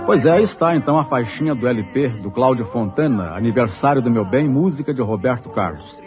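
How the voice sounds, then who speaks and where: A man's voice: mid-range voice, animated, brisk. A man with a Brazilian accent delivering a blunt, scathing record review as a radio critic. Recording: muffled archive radio, faint music.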